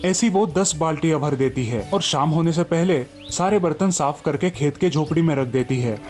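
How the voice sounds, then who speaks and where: A man's voice: a little high, normal speed, darkish tone. A man telling a children's story as a scripted narration, over background music.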